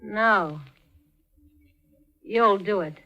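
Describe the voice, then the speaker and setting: An American actress playing a scheming, double-crossing gangster's moll in a 1940s radio crime drama, talking in hard slang. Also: female voice, medium pitch, drawn-out words, bright, forceful tone, lo-fi sound. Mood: cold, scheming. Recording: 1940s radio recording with music.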